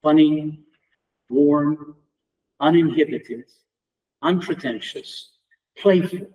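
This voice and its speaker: A middle-aged man from Romania with a Romanian accent, a native Romanian speaker giving a reflective memorial tribute as an English department lecturer, measured and halting with restarts. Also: fairly high pitch, a little mellow, far from the mic, rough recording. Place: lecture room at a memorial round table.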